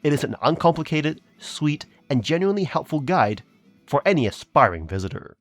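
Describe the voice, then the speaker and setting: Male narrator, scripted game review, polished promotional delivery, animated pitch, dry close sound, music underneath. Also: fairly quick, dry recording.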